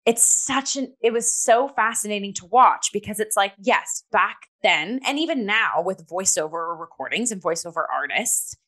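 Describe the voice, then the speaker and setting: Bright-voiced woman talking theatre. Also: mid-range voice, expressive, normal speed, few pauses. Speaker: theatre review podcast co-host. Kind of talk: casual discussion review.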